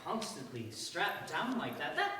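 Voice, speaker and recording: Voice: medium pitch, huge pitch swings, measured pace, sharp tone, exaggerated voice. An actor playing a wry, questioning man in a staged radio play. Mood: wry, indignant. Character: wry, sceptical man with sympathy for the underdog. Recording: echoey stage, distant mic, faint noise.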